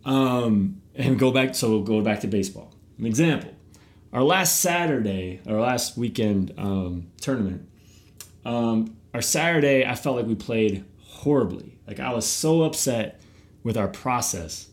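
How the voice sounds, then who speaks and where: A man's voice: medium pitch, expressive, a little slow. A middle-aged man, host of a podcast and youth baseball coach, talking casually and animatedly, often repeating words as he starts a thought.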